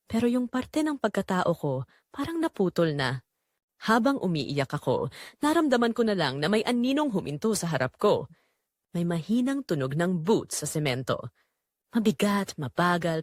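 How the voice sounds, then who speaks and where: A woman's voice: medium pitch, dry recording, studio quality, lightly acted. A woman with a Filipino accent playing a weary, anguished migrant-worker mother, telling her story in an emotive, confiding audio drama narration.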